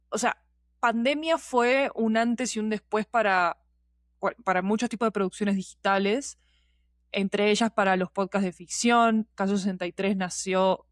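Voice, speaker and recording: Female podcast guest, mid-pitched, chatty and explanatory with frequent tangents, dry studio-close sound. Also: no room sound. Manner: chatty, digressive, checking in with listener. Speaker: podcast guest, producer and teacher. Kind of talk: casual explanatory conversation.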